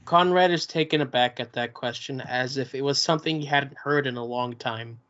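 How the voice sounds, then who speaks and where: A man reading the stage directions at a play table read in a plain, steady way. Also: medium pitch, normal speed. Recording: muffled lo-fi recording.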